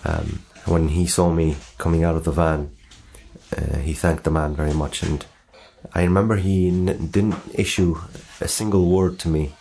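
Deep-voiced man with an Arabic accent. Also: fairly even intonation, normal speed, warm tone. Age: middle-aged. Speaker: documentary interviewee. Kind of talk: reflective personal storytelling.

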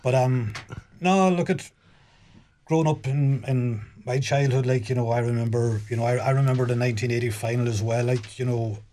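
A middle-aged man from Galway with a dark, mellow voice chats loosely, leaning on easy fillers. A faint noise runs under him. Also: mid-range voice, fairly even intonation, a little fast. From Galway, Ireland. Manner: chatty, filler-heavy, frequent "like, you know". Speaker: radio guest. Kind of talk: casual radio chat.

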